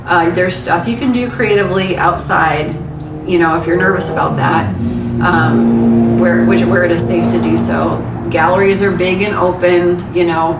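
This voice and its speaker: A woman's voice: on the low side, normal speed, over loud music. Chatty woman doing a tarot reading, roomy echo. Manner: chatty, frank, encouraging, with fillers and swearing. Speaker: tarot reader. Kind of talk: casual pick-a-card tarot reading. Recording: echoey room, mic some distance away, background noise.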